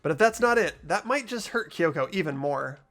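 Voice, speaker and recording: A man playing an earnest, hesitant, anxious high school boy in an amateur video game dub, narrating and speaking in character. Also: animated, fairly quick, a bit bright. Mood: tense. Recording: music behind the voice.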